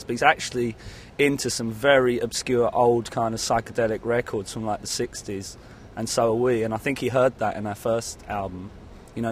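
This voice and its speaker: A man's voice: mid-range voice, slight background noise. A man giving a casual interview as a musician, rambling and hesitant, often saying "you know". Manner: rambling, hesitant, peppered with 'you know'.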